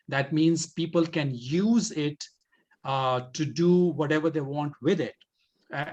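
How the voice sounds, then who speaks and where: Higher-pitched man giving deliberate, emphatic answers. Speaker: presenter for a community language-learning project. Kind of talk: informal question-and-answer talk.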